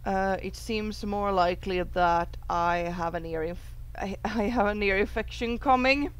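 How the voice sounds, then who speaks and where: Woman doing a casual visual novel playthrough, very slow n halting, medium pitch. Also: clear, resonant tone. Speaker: gaming video host. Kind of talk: casual chat and reading a visual novel aloud.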